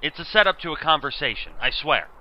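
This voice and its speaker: A man's voice: on the high side, expressive, fast talker, muffled recording, close to an everyday voice. A man acting a nerdy, bullied teenage schoolboy in an amateur audio drama, with a theatrical, wordy, pleading manner. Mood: eager, excited.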